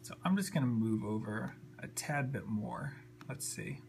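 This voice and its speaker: Casual man with a creaky voice. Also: medium pitch, expressive, measured pace, a little mellow. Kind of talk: casual unscripted tutorial narration. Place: indoors at a computer desk. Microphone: handheld camera, a little off the screen. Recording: faint background hum and noise.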